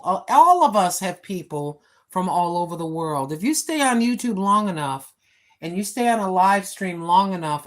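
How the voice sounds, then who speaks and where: A woman in her sixties chatting casually on a live stream from home, rambling with many fillers and talking straight to her viewers. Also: medium pitch. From United States. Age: 60s. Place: home, seated. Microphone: phone.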